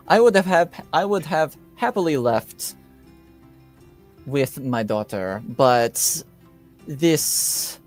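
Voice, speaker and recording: A man plays an aggrieved aristocratic father in a tabletop fantasy game. He speaks in measured, formal phrases with proud indignation, at a medium pitch, with music behind him. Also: darkish tone. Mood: indignant. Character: proud aggrieved nobleman father, admitted member of assassin guild. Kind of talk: improvised tabletop roleplay.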